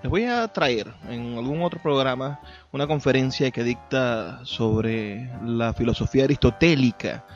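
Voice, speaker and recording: A man's voice: mid-range voice, unhurried. A man with a Venezuelan Spanish accent presenting a radio programme conversationally, effusive and sometimes hesitating between phrases. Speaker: radio host, poet. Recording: dull lo-fi sound with music. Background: music.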